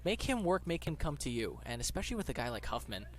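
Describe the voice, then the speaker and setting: A man's voice: mid-range voice, sing-song, quick pace, slight background noise. A man giving conversational color commentary on a live baseball broadcast.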